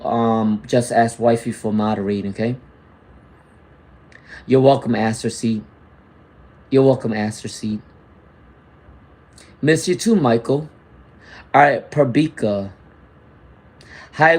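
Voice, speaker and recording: A man giving live tarot readings, reading out viewer comments and often checking in with "all right?" and "okay?". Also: mid-range voice. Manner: reads out viewer comments and greets chatters. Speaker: tarot reader.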